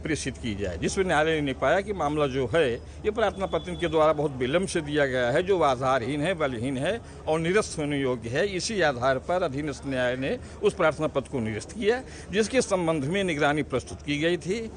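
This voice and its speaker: A north Indian man with a slightly high voice gives a lawyer's statement to the press. His wording is formal and legalistic, and faint ambient noise sits behind him outdoors. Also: animated, fairly quick, hardly any pauses. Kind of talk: press statement on a court ruling.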